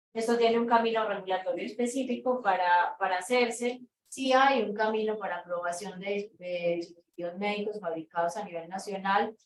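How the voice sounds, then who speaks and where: Colombian woman lecturing in an echoey room. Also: mid-range voice. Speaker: conference speaker, researcher. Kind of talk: lecture presentation to an audience. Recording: echoey room, distant mic, background noise.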